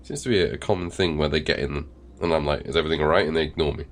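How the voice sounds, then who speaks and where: Deep-voiced, congested let's play host. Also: male voice, quick pace. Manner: rambling, with fillers and swearing. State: nasal and congested, recovering from illness. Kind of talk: casual gameplay commentary and reading game text.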